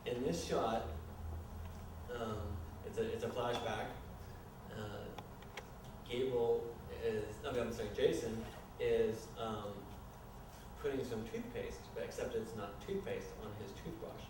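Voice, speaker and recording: Guy explaining stage directions, kinda halting, far from the mic, super echoey room. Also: medium pitch, steady tone. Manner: halting, explanatory, apologetic asides. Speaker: narrator reading stage directions at a staged screenplay reading. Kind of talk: informal live narration of scene action. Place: live staged reading in a reverberant room. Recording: distant, very echoey room, noisy ambience.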